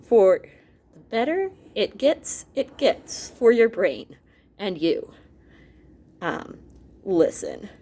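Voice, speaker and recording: A woman reading an educational book aloud as a teacher to students, expressively, speaking directly to her listeners with asides. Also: mid-range voice. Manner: animated, with asides and exclamations to listeners.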